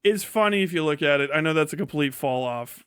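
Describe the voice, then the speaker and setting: Male American streamer, higher register, dry sound; sweary, opinionated, off-the-cuff commentary. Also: lively intonation, fairly quick, smooth, dry recording. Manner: blunt, opinionated, sweary ranting. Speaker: livestream host. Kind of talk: casual, profane livestream commentary.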